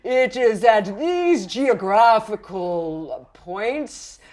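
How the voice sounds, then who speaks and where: Lady doing the earth talking, super slow n loud, drawn out, solemn, goofy tabletop game vibe. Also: mid-range voice, lively intonation. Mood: booming, solemn. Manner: drawn-out, ceremonious delivery. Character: booming voice of the earth, reciting slowly, comically solemn.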